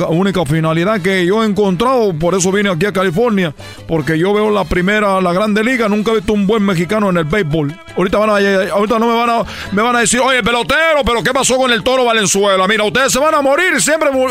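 A man's voice: high voice, fairly bright tone, voice pushed down. A man putting on a cocky Cuban ballplayer. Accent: Cuban Spanish put on. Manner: joking, teasing, rambling. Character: boastful Cuban baseball player man, cocky.

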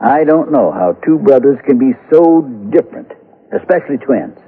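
A sober frontier lawman in a vintage western radio play. He is troubled and probing, plain in his speech, with a raspy tone and animated pitch. The sound is dull, like an old broadcast. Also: normal speed. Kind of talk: 1970s radio drama acting, western.

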